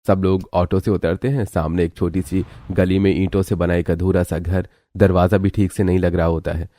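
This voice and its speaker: Deep-voiced man narrating a story. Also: flat delivery, normal speed, warm tone, no echo.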